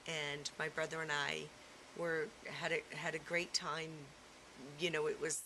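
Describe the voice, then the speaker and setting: A middle-aged woman giving a reflective, personal interview, speaking haltingly as she searches for her words. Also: deep voice, flat delivery. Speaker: theatre performer being interviewed. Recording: clearly noisy room recording.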